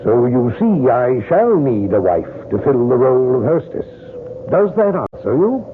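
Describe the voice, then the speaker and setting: Smug, suave villainous gentleman doctor. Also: medium pitch, very animated, measured pace, background noise. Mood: cold, self-satisfied. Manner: suave, condescending, mannered. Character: smug, coldly calculating English gentleman doctor. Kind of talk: old-time radio drama acting. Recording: tinny, narrow old radio recording.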